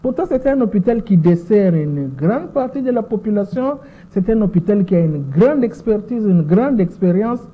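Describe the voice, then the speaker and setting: A Congolese woman in her sixties answers an interviewer's questions in French with a Congolese accent. She talks in a measured way, repeating words as she searches for them. The sound is thin and boxy, like a voice over a phone line. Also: mid-range voice, expressive. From Democratic Republic of the Congo. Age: 60s. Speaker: interview guest, lawyer.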